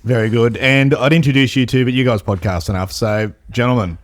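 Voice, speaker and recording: Jokey podcast host. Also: male voice, mid-range voice, a little fast. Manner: jokey, laughs often. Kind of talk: casual podcast chat. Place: office.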